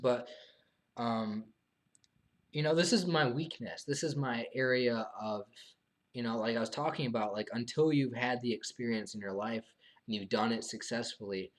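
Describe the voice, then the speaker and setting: Young man, live streamer, mid-range voice, subdued and hesitant, full of fillers, voice getting tired. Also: medium pitch. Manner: hesitant, halting, many fillers and restarts; soft, subdued, earnest. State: losing his voice after long talking. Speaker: live streamer answering viewer questions. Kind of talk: casual live stream monologue.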